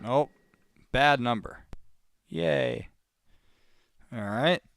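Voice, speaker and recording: Clear-voiced man chatting casually on a live stream. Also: medium pitch, extremely slow, a bit bright. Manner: informal, rambling, talking to viewers. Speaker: live sales-call host, real estate cold caller. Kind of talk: casual live-stream chat between phone calls.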